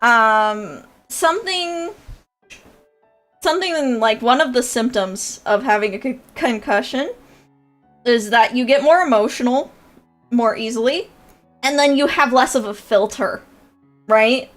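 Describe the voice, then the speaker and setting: A young woman with a nasal voice talks in an unhurried, rambling way, full of ums and little laughs. Her voice sits a bit high, and soft music plays faintly behind her. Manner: chatty and rambling, with frequent fillers and laughs. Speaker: VTuber streamer and creator coach. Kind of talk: casual livestream chat.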